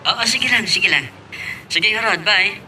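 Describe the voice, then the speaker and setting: Man playing a bright-voiced eager Ilocano suitor. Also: high voice, slow pace, full character voice, rough recording. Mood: eager, courting. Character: eager, smitten working man, jaunty and courteous suitor. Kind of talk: Ilocano radio-style drama acting. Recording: faint background noise.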